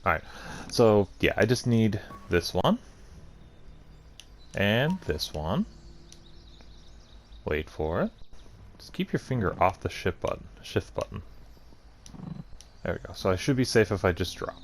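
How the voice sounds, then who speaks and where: A man with a fairly low voice gives casual gaming commentary. His pitch moves around a lot as he thinks aloud and mutters to himself. There is faint noise behind him. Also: sing-song, measured pace, pauses often. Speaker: gaming video host. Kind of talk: casual let's play commentary.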